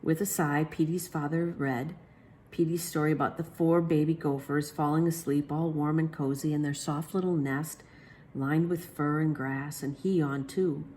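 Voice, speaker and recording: A woman's voice: deep voice, fairly even intonation, moderate pace, a little mellow, a little hiss or hum. A woman reading a children's story aloud as a storyteller, expressively and with acted character lines. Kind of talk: children's picture book read aloud.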